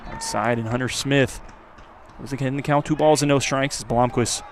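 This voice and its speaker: A man giving live play-by-play baseball commentary for radio, his voice lifting on the action and settling between pitches. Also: fairly even intonation, moderate pace, a crowd in the background. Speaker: play-by-play announcer. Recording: clear outdoor ambient noise. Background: outdoor ballpark ambience.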